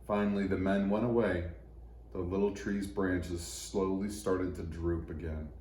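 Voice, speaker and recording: Male children's story narrator, lowish and mellow, expressive phrasing, recorded in an echoey room. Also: a little slow. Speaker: storybook reader for church children. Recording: echoey room, faint background noise.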